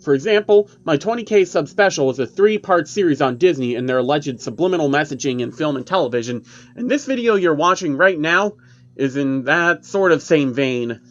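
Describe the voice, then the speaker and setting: A man's voice: fairly high pitch, lively intonation, a little fast, muffled recording, close to an everyday voice. A man voicing a chatty male YouTuber who explains creepy cartoon myths emphatically, in online video style. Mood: upbeat presenting.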